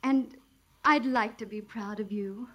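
An actress playing a refined wealthy widow, genteel and gently chiding, in a 1940s radio comedy. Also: female voice, mid-range voice, measured pace, close to an everyday voice. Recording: hissy old radio transcription.

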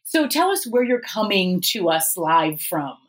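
A woman with a fairly low voice works as an interview host on a podcast. She speaks in a conversational way as she introduces and questions a guest. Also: big pitch swings, unhurried.

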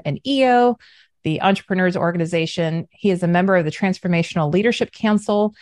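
A woman's voice: lowish voice, no room sound, clean studio sound. An enthusiastic woman hosting a business podcast and introducing her guest. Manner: enthusiastic, upbeat. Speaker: podcast host. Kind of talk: podcast interview.

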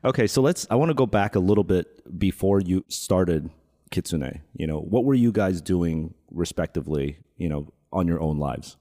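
A man's voice: deep voice, lively intonation, normal speed, dark tone, no echo. A man hosting an interview podcast in a studio, reading a scripted introduction and then chatting with his guests. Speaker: podcast host. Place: New York studio.

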